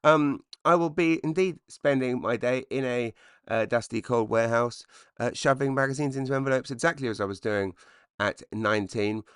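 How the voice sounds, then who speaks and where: A man in his forties with a slightly croaky voice, rambling self-deprecatingly through a comedic solo podcast monologue. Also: mid-range voice, fairly even intonation, moderate pace, a bit bright, no room sound, clean studio sound. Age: 40s. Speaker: podcast host, stand-up comedian.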